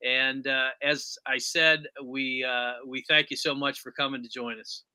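Bright, forceful male sports interviewer. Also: medium pitch, fairly even intonation, measured pace, clear, resonant tone. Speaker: sports podcast interviewer, host.

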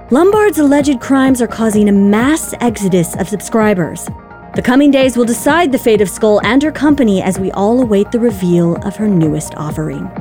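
An actress playing a polished female morning news anchor in an audio drama, with crisp, presentational broadcast delivery. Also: mid-range voice, darkish tone, lightly acted.

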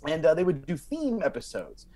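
An American man with a fairly high voice and very lively intonation. He tells stories in a casual, comic way as a podcast guest, animated and wandering off into asides. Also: normal speed, crowd noise. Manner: animated, digressive, acts out quotes.

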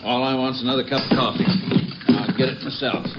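A man's voice: mid-range voice, steady tone, brisk, music in the background, close to an everyday voice. An actor playing a stern, scolding father who runs a store, in a 1950s radio drama. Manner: gruff, scolding. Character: stern middle-aged father, small-town storekeeper. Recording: dull vintage radio recording with hiss.

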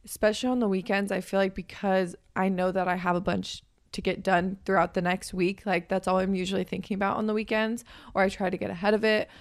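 A hoarse young woman in her twenties hosting a podcast in a chatty, upbeat way, her voice raspy from just waking up. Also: mid-range voice, little pitch movement, quick pace, few pauses. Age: 20s. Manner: chatty and upbeat, with frequent fillers. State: hoarse from waking up and a weekend of talking. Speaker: podcast host and personal trainer. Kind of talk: casual chat.

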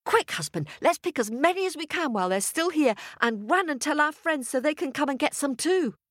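A woman voicing an excitable, chatty, boastful farmer's wife in a folk tale, gushing and rambling. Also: medium pitch, normal speed, no room sound, studio quality, pitched above the performer's own voice. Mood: urgent, excited. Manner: gushing, breathless exclamations, rambling. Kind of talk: storybook character voice.

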